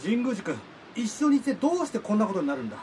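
An actor playing a gentle, mature surgeon in love, polite and earnest, husky-voiced, in a romantic radio drama. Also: male voice, high voice, very animated, racing, a little hiss or hum. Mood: indignant.

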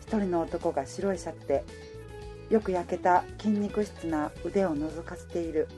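A woman's voice: on the low side, moderate pace, faint music behind, lightly acted. A woman playing a romantic, easily flustered working woman, in radio drama dialogue and first-person narration. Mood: weary, annoyed.

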